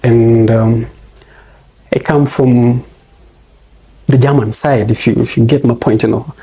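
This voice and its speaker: A Kenyan man answers questions in a casual, meandering way, often stopping on fillers. His voice is dark and mellow, with a husky edge. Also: mid-range voice, fairly even intonation, a little slow. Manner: rambling, hesitant, full of fillers. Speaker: interview guest. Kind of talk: casual interview.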